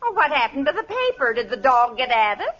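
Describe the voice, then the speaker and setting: An actress playing a chatty, wisecracking, sympathetic maid in a 1940s radio comedy. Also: female voice, high voice, sing-song, fairly quick, fairly bright tone. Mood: chatty, amused. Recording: muffled old radio recording, faint noise.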